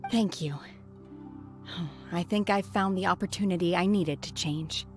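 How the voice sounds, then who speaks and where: An actress playing an aloof young woman in a game, calm and blunt, with a dry, cutting way of talking. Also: fairly low pitch, measured pace, soft background music, close to an everyday voice. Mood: grateful. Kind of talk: game voice acting.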